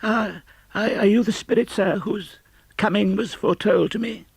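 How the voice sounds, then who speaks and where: A man voicing a nervous, miserly old man in an audiobook, stammering and agitated, with a breathy, quavering voice. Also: sits high, moderate pace, no echo, pitched above the performer's own voice, exaggerated voice. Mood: timid, fearful.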